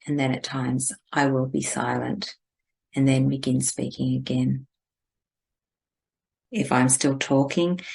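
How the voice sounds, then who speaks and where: A woman leading a guided spiritual meditation in a gentle, deliberate, instructing manner. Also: rumbling low, creaky, breathy edge.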